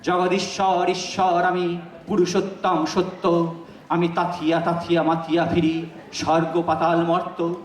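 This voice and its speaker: High-pitched man declaiming poetry, distant and echoing. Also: monotone, unhurried. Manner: declamatory, impassioned, emphatic. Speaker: poetry reciter. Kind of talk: dramatic poetry recitation. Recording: roomy echo, distant microphone.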